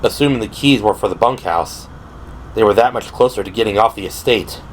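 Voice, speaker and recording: A man's voice: on the low side, a little fast, background noise. A man delivering scripted, dramatic narration for an audio drama. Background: dramatic background music.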